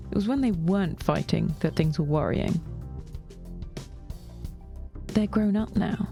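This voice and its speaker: A woman reads scripted fiction as narrator, with measured delivery and dry wit. Her voice has a clear creak at a middle pitch, and faint music plays behind her. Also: warm tone.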